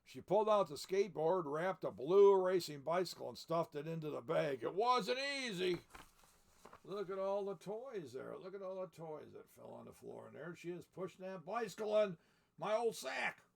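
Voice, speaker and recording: A man's voice: high voice, big pitch swings, normal speed, creaky. An older man in the role of Santa Claus reads a picture book aloud, adding folksy, chatty asides as he goes. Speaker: storyteller in the persona of Santa Claus.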